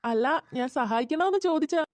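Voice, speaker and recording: A performer voicing a shy young man in a cartoon, coaxing and pleading in a pitched-up voice. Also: expressive, fast, a little mellow, dry recording. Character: shy, coaxing young bachelor older brother. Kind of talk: cartoon voice acting.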